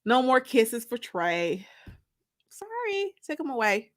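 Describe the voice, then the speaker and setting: A woman's voice: fairly high pitch, sing-song, takes it slowly. An American woman hosting a casual livestream, chatting with viewers in a playful, teasing, colloquial way. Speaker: livestream host and author.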